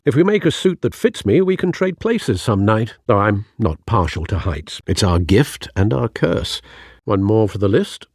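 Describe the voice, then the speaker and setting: An actor voicing a loyal older butler in a video game, husky, with a dry, measured, gently admonishing manner. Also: male voice, lowish voice, sing-song, dry recording, exaggerated voice. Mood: dry, cautioning. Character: loyal, dryly witty old male butler and mentor.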